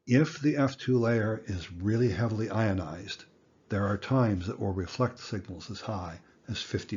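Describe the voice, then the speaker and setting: A man in his sixties giving an educational lecture in plain words, with a deliberate, folksy manner. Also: fairly low pitch, darkish tone, slightly rough, lo-fi sound. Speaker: instructor.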